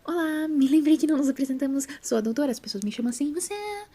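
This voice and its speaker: A woman plays an awkward female time traveller in an audio story. The pitch is lifted and the words come in a nervous hurry. The manner is apologetic, the tone soft. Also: fast. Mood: nervous, friendly. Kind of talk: audio drama character voice.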